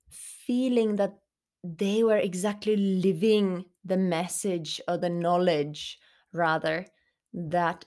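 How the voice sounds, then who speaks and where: A young woman co-hosting a podcast, telling her story in a thoughtful, unhurried way with many fillers and restarts. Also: on the low side, darkish tone. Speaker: podcast co-host. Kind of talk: reflective personal storytelling.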